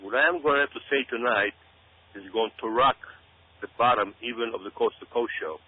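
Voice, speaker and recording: A man's voice: mid-range voice, fairly quick. A man from Israel giving emphatic, explanatory talk-radio commentary as a guest, often repeating phrases for stress. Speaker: author and researcher, radio show guest. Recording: tinny phone-like radio audio.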